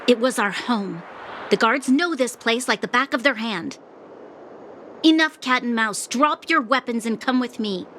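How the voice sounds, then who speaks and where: Strict young army commander lady, game cutscene, commanding, bit high. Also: expressive, unhurried, fairly bright tone, a little hiss or hum. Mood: stern. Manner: formal, commanding. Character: stern, proud young female military commander. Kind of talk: English game voice acting.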